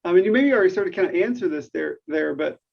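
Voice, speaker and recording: Gravelly host with repeated stumbles. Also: male voice, sits high, expressive, racing, a little mellow. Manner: stumbling, repeats words while asking. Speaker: podcast host. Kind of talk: hosting an interview. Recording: dull lo-fi sound.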